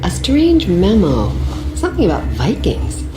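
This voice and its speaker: An actress voicing a gentle, loving young wife who speaks softly and affectionately. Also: female voice, lowish voice, huge pitch swings, unhurried, background noise. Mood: tender. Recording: roomy echo with music behind.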